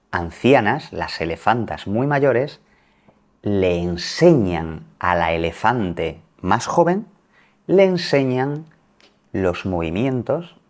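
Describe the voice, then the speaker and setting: Male teacher, low and lively voice; clear, simple storytelling for learners. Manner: clear explanatory delivery, rephrasing words. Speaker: language teacher in a video.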